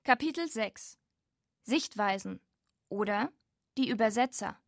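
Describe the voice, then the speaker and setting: A woman's voice: mid-range voice, animated, measured pace, no room sound, clean studio sound. A woman reading scripted documentary narration as the presenter.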